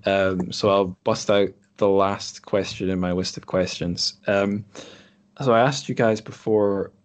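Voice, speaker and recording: A man with a low-pitched voice hosts a podcast conversation. He talks casually and reflectively, wandering through his thoughts as he goes. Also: steady tone, measured pace. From Scotland. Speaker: podcast host. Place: group online call.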